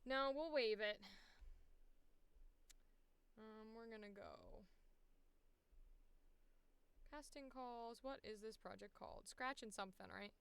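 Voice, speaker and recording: A woman's voice: on the high side, lively intonation, slow, gravelly, long pauses, fairly bright tone, smooth. A woman talking casually and thinking aloud through a screen-share walkthrough, blunt, self-deprecating and prone to swearing. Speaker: voice actor giving a walkthrough.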